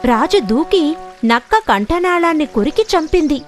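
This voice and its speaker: A woman's voice: high voice, big pitch swings, measured pace, soft background music. A woman narrating scripted animal fables for children as a storyteller.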